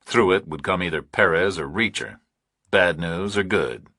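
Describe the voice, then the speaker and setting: Deep, husky male thriller audiobook narrator. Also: bright, forceful tone, dry recording, clean studio sound. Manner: measured, clipped, dramatic phrasing.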